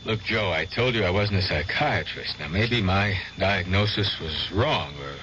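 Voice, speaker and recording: An actor playing a calm, reasonable adviser in a radio drama, speaking in a measured, patient, reassuring way. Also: male voice, deep voice, exaggerated voice. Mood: patient, puzzled. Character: calm, reasonable male friend and adviser to gangster. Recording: dull, noisy old radio recording.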